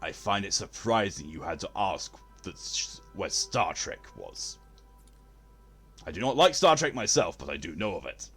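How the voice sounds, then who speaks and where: A man's voice: sits low, big pitch swings, normal speed, a bit bright, breathy edge, faint music behind. A man chatting on a live game stream, with formal wording and a theatrical way of talking.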